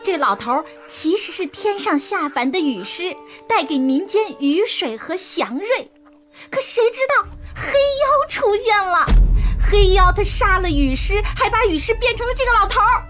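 Young maiden, extremely high voice, lively intonation, theatrical, cheeky, outspoken, radio comedy. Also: female voice, moderate pace, soft background music, heavily acted. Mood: wailing. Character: melodramatic, cheeky young maiden pleading her case. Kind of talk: comedic radio drama acting.

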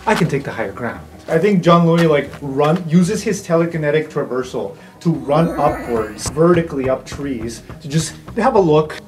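A man's voice: fairly high pitch, expressive, moderate pace, hardly any pauses. A man playing a tabletop game, chatting casually as he improvises roleplay. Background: faint music.

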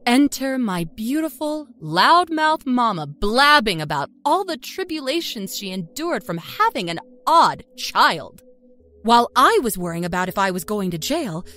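An actress playing a wry, unrepentant small-town woman, telling her story in an expressive, conversational, folksy first-person narration. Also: a little high. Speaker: audio fiction narrator.

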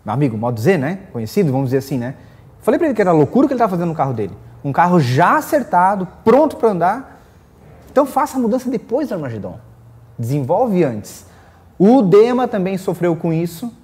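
Lively high-voiced southern Brazilian man, mellow tone. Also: quick pace. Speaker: guest drag racer. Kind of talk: casual panel chat. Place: small indoor studio set.

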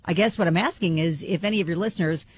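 A woman's voice: on the low side, quick pace, a little hiss or hum. A woman co-hosting a radio talk show, reading a listener's letter and teasing her co-host dryly, on a studio mic. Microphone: studio microphone.

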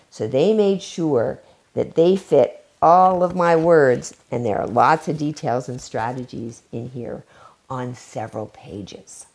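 Low, lively, gravelly-voiced woman reading to kids. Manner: warm storytime delivery, drawn-out emphasis. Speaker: children's book author. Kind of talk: reading aloud and craft demo for kids. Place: home library, seated in armchair before bookshelves.

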